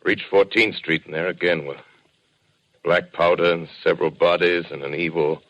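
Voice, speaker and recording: A dull, band-limited 1930s radio recording carries a sombre, educated survivor. His voice is very deep, and his phrasing is grave, literary and deliberate, in the dramatic manner of old radio plays. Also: steady tone, a bit bright. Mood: sombre, haunted. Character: sombre, educated male survivor recounting a desolate journey. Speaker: radio drama lead and narrator.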